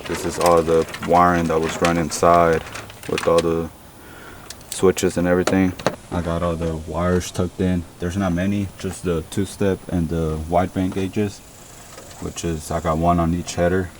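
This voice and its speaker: Deep, low flat-voiced man vlogging. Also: noisy background. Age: young adult. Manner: relaxed, plain-spoken, talks while working on an engine. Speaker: car vlogger. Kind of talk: casual how-to vlog. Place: in a pickup cab and outdoors at an engine bay.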